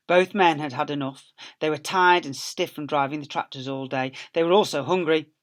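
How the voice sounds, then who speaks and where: A man, an infant school teacher, reading a story expressively to young children. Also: mid-range voice.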